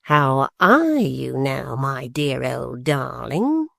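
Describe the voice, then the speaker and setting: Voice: medium pitch, sing-song, gravelly, no echo, clean studio sound, full character voice. A reader voicing Quilp, a malicious, cunning male dwarf who drawls with false affection. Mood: gloating. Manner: slow, mocking, falsely affectionate.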